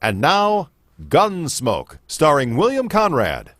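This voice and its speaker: Very animated male radio drama announcer. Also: a little high, slow, dry recording. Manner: declamatory, dramatic.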